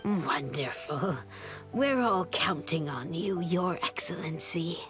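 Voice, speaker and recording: A woman playing a wary, stubborn female advisor who pleads in an earnest, hushed manner. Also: fairly low pitch, slow, music in the background. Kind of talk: video game voice acting.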